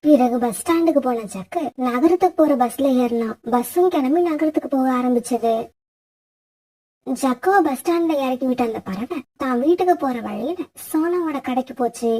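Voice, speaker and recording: A woman with a husky voice narrating a scripted children's story. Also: high voice, normal speed, warm tone.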